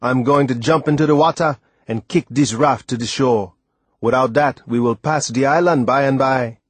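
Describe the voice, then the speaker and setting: A man voicing a hearty, reassuring old West Indian seaman in an audiobook, speaking dialect emphatically and deliberately. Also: medium pitch, husky, no room sound, natural voice. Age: older. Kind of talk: audiobook character voice.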